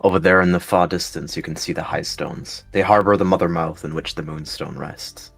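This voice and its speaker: A stern, guarded warrior cat mentor, played by a man. His voice is very low and he speaks as a teacher in a fantasy roleplay, with music underneath. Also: fairly even intonation, a little fast, creaky, a bit bright, breathy edge, heavily acted.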